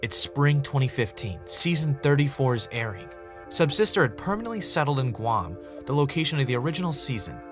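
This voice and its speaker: A man's voice: medium pitch, sing-song, brisk, natural voice. Earnest podcast host guy played by an actor, kinda wry, digging into a mystery, music under him. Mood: measured, serious. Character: earnest, dogged male podcaster investigating a mystery. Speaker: podcast host in a scripted drama. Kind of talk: naturalistic audio drama podcast narration.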